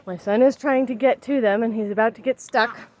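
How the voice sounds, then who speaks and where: Chatty woman in her thirties, somewhat high voice. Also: fairly even intonation, brisk, a little mellow. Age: 30s. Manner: chatty, rambling, emphatic. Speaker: vlogger. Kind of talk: casual vlog chat. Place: outdoors in a backyard with ducks.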